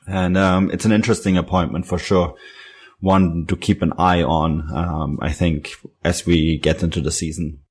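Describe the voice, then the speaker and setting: A man giving casual, explanatory football analysis on a podcast in a measured manner. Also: deep voice, level tone, a little mellow, husky.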